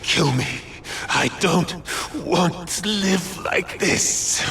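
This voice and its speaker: A man's voice: high-pitched, very slow, a little hiss or hum, exaggerated voice. A male actor voicing a bitter, spiteful young rich-heir villain in a video game, with a volatile, sneering delivery. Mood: defeated, pleading. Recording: loud music and action behind the voice. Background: loud game soundtrack.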